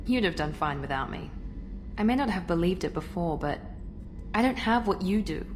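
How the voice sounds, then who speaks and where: Woman acting a low-voiced, confident elite operative. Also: animated, normal speed, background noise, lightly acted. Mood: self-critical. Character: confident, cool, proud female elite operative. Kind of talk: video game character acting.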